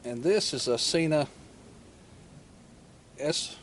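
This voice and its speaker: A man's voice: a little high, expressive, slow. A man giving a casual, plain-spoken, step-by-step tutorial while he works with his hands. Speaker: motorcycle vlogger demonstrating gear installation. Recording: clear ambient and vehicle noise.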